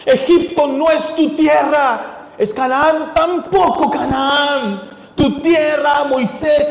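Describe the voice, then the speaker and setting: A male pastor with a blend of Ecuadorian, Chilean and Mexican Spanish accents, preaching a sermon with rhetorical questions that builds to fervent shouts. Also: extremely high pitch. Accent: mixed Ecuadorian, Chilean and Mexican Spanish. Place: church hall. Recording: echoey hall with long reverb.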